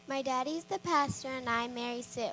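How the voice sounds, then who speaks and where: A woman voicing a sweet, timid little girl who speaks gently and earnestly in a storybook. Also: high-pitched, moderate pace, slight background noise, poor audio. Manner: gentle, earnest prayer-like speech.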